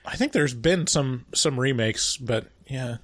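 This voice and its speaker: A forty-year-old man chatting easily on a podcast, his voice at an ordinary middle pitch. He jokes and laughs a lot in a laid-back way, and the recording is close and dry. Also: lively intonation, measured pace, dry recording. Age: 40s. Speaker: podcast co-host. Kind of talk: casual podcast chat.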